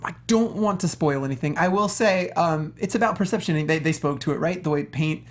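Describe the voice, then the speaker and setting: A man's voice: a little high, brisk. A man on a discussion panel, talking casually about films in an earnest, rambling, conversational way. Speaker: talk show panelist.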